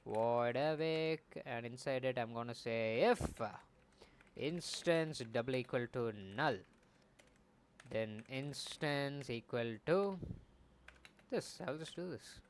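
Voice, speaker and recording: A man's voice: mid-range voice, takes it slowly, slight background noise. A young man casually streaming as he builds a game, rambling and correcting himself as he thinks aloud at a desk mic. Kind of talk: casual live coding commentary.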